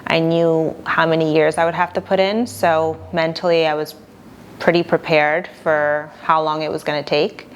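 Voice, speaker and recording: A young woman attorney from Argentina answering interview questions in a calm, measured, plain-spoken way. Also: low-pitched, slight background noise, rough recording.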